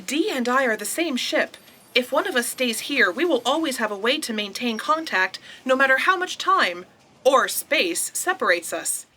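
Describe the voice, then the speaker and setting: Lady voicing a sentient spaceship, bright highish voice, composed and no-nonsense, bit of ambience behind. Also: sing-song, normal speed, slight background noise, exaggerated voice. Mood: composed, assured. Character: brisk, matter-of-fact female starship mind. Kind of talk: audio drama acting.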